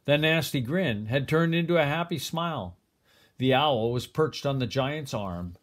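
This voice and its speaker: An older man reads a children's picture book aloud as a grandfather would, with expressive, deliberate phrasing. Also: mid-range voice. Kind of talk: storybook read-aloud.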